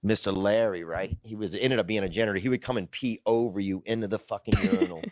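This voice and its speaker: A man's voice: on the low side, steady tone, fast talker, darkish tone, dry recording. A man telling funny stories in a casual, crude, slangy buddy style full of swearing. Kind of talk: casual comedic storytelling.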